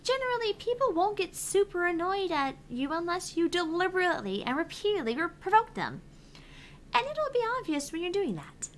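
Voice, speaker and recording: A woman's voice: very high voice, sing-song, a little fast, slight background noise, voice pushed up. A woman voicing a perky, reassuring female app assistant, reading game dialogue in a chirpy, encouraging, playful manner. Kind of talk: reading visual novel game dialogue aloud.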